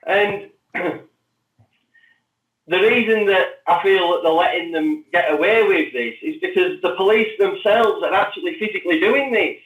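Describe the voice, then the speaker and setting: Male interview guest, slightly high voice, halting repeated words, roomy echo, off-mic. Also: distant mic, phone-quality sound. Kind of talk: spontaneous interview account.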